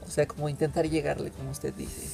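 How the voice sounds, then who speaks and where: A woman's voice: deep voice, little pitch movement, quick pace, creaky, music in the background. A woman asking questions as an interviewer in a casual, chatty style.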